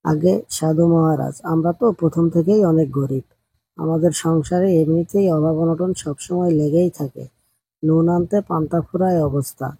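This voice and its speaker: A man's voice: a little high, steady tone, measured pace, gravelly, mellow, slightly rough, full character voice. A man voicing a poor, kind-hearted, hardworking village farmer in an earnest, plain-spoken way for an amateur cartoon story. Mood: apologetic.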